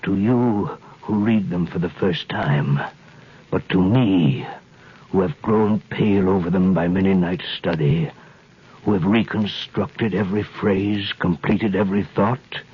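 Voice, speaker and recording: A man's voice: deep voice, a little slow. An actor playing a dying old prisoner-priest, fervent and fatherly, in 1930s radio drama style with solemn, emphatic delivery. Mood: urgent, fervent. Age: older. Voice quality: husky, weary. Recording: muffled 1930s radio recording.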